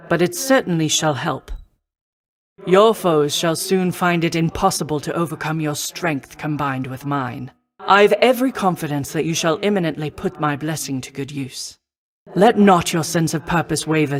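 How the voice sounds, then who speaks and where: A woman playing a noble, protective war goddess in a video game, speaking in formal, measured, old-fashioned phrasing. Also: sits low, close to an everyday voice. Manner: formal, measured, archaic stately diction. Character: noble female war goddess, poised, protective, confident mentor. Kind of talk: scripted video game voice acting.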